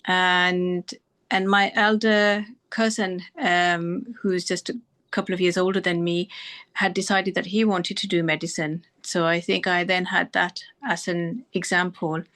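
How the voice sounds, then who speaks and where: Thoughtful woman doctor, lowish voice. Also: little pitch movement, unhurried, a bit bright. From Pakistan. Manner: thoughtful, many restarts and fillers. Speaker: doctor guest. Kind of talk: interview answers.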